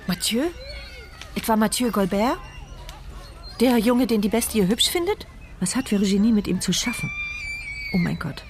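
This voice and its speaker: A woman's voice: a little high, huge pitch swings, moderate pace, long pauses, background noise. An actress playing a mute, paralysed woman who narrates her anxious thoughts in an intimate, intense radio drama monologue. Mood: alarmed.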